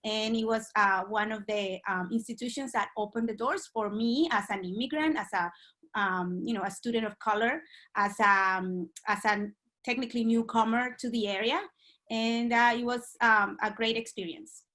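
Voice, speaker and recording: Bright-voiced woman, hesitant, from Dominican Republic. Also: fairly high pitch, moderate pace. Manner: hesitant, many ums and uhs. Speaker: guest. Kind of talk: remote podcast interview.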